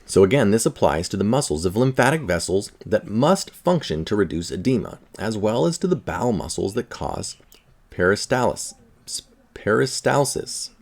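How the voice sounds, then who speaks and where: A man with a low voice reads a scientific article aloud. His intonation moves around a lot, and his delivery is informal, like someone reading for listeners and commenting along the way. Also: big pitch swings, moderate pace, vocal fry, darkish tone, breathy edge. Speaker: article reader.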